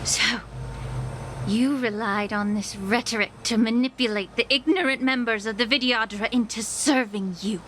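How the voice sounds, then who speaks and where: An actress playing a composed, stern, skeptical female official in a fantasy game, speaking in a measured, formal, cutting way. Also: a little high, a little hiss or hum, lightly acted. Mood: stern, disappointed. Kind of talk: scripted fantasy game voice acting. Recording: loud music behind. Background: game music.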